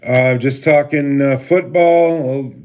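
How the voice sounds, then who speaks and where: A man with a deep voice hosting a casual livestream, greeting viewers by name and talking with many halting filler pauses. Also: steady tone, a little mellow, smooth. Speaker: livestream host. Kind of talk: casual live stream chat with viewers. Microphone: laptop mic.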